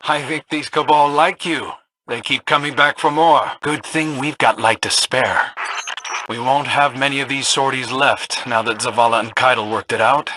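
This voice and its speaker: An actor playing a wry, humane young scout in a video game, casual and dryly joking. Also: male voice, medium pitch, steady tone, moderate pace, background noise, natural voice. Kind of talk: scripted video game dialogue.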